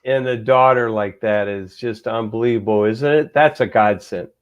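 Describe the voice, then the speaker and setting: A man's voice: fairly low pitch, normal speed, smooth. A man in his sixties chatting as a guest, hesitant at times and searching for words. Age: 60s. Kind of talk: casual chat.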